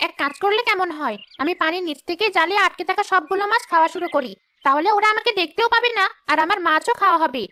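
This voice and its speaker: Casting: actress for greedy female river spirit in animated folklore, very high voice, rapid, plotting delivery. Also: heavily acted. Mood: gleeful scheming. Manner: scheming, talking to herself. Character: greedy, sly female river witch-ghost of Bengali folklore. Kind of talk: animated folk tale character acting.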